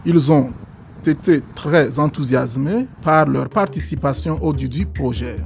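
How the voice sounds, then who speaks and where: A man reading a scripted documentary narration in a gravelly voice. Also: on the high side, a little slow. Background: music.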